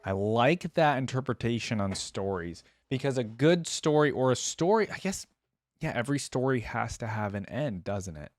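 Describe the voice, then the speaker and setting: A man's voice: medium pitch, expressive, moderate pace, no echo. Musing male reactor, close dry sound. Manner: musing, repeats phrases back to himself. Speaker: reaction video host. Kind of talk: casual commentary reacting to game voice lines. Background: music.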